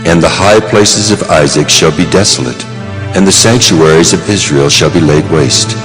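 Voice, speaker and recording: Thunderously deep, echoing God. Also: male voice, fairly bright tone. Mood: stern wrath. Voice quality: very deep, weighty. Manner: solemn, deliberate. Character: the Lord God, stern commanding divine judge. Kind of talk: dramatized scripture reading. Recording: long cavernous reverb under music.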